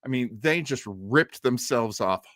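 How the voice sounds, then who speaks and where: Male show host, mid-pitched voice, casual informative storytelling, conversational, emphatic, dramatic pauses, dry recording. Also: animated, fairly bright tone, no room sound. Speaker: host of a live old-time radio listening show.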